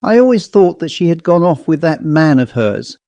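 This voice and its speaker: A man voicing a polite, guarded male servant in an audiobook story, deferential and measured in manner. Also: fairly high pitch, very animated, mellow, no echo, pitched above the performer's own voice. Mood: deferential, uneasy. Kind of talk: audiobook character voice.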